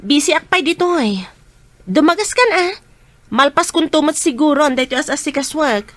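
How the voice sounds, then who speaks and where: A woman playing a brisk young restaurant owner. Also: high-pitched, lively intonation, fairly bright tone, dry recording. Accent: Ilocano. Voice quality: brisk, businesslike.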